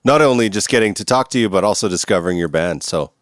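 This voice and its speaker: A man's voice: low voice, lively intonation, fast, dry recording, clean studio sound. Easygoing man hosting. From Vancouver, Canada. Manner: easygoing, swears, laughs often. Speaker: podcast host. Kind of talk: casual interview chat.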